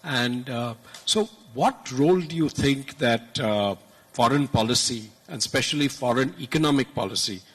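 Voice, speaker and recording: A man with a husky, mid-pitched voice works as an interviewer. He phrases his questions in a roundabout, slightly hesitant way. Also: moderate pace. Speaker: moderator, interviewer.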